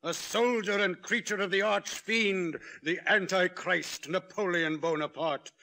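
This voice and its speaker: A man's voice: high voice, measured pace, pushed and bright, exaggerated voice. A man acting a severe, zealous Inquisition clerk, declaiming officiously in a 1950s radio drama. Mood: denouncing. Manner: declamatory, officious reading of charges. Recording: vintage 1950s radio recording.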